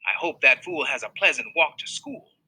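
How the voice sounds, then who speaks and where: A man's voice: medium pitch, animated, moderate pace, pushed and bright, slightly rough, exaggerated voice, rough recording. A man voicing a scornful school bus driver who scoffs at late kids. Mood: scoffing. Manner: scoffing, mocking.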